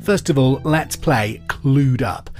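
Male quiz host, reads questions twice, clear delivery, faint music under. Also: medium pitch, takes it slowly, a little mellow. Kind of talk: pub quiz podcast hosting.

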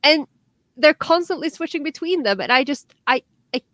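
A woman's voice: high-pitched, animated, moderate pace, a bit bright, no room sound. A young woman from Norway, a podcast co-host chatting casually and animatedly, with many restarts and fillers. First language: Norwegian. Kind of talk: casual chat.

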